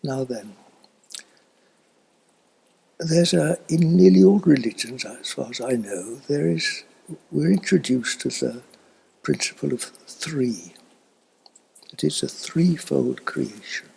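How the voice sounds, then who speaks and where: An elderly man giving a slow, reflective spiritual talk in a husky, breathy voice that often fades toward a whisper, with long thoughtful pauses. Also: medium pitch, expressive, darkish tone, slight background noise, poor audio. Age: older, elderly. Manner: contemplative, halting with long pauses. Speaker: spiritual teacher.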